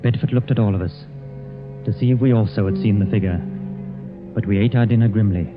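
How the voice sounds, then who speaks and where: An actor playing a dinner guest who narrates a suspense tale in tense, dramatic 1940s radio style. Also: male voice, lowish voice, fairly quick, over music, natural voice. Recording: dull old radio recording.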